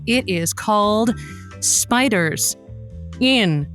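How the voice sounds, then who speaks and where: A woman's voice: mid-range voice, expressive, drawn-out words, fairly bright tone, soft background music. A woman playing a feisty, teasing host of a comedic call-in radio show. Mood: playful, breezy.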